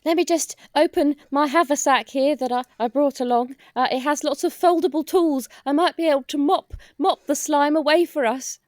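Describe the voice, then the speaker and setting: A woman's voice: high voice, moderate pace, hardly any pauses, dry recording, clean studio sound, lightly acted. A woman playing an earnest, helpful but hapless cleaner in an improvised comedy roleplaying game. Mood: keen.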